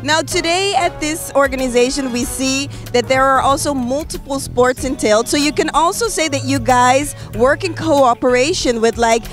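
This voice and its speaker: A young woman with a very high voice asks curious, carefully built questions into a handheld mic. She stands outdoors at a race venue, with faint music behind her. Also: moderate pace, hardly any pauses. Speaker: sports show interviewer. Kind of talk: outdoor event interview.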